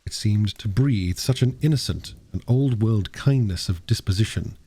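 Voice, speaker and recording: A man reading classic literature aloud as an audiobook narrator, expressively and with dramatic, deliberate phrasing. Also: lowish voice, warm tone, breathy edge, no echo. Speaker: audiobook reader.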